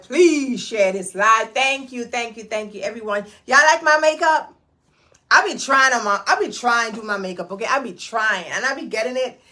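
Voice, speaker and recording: A woman's voice: on the high side, bright, forceful tone. A woman hosting a casual livestream, greeting viewers by name and repeating herself in a chatty, hyped-up way, talking into her phone. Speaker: host of a livestream. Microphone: phone camera.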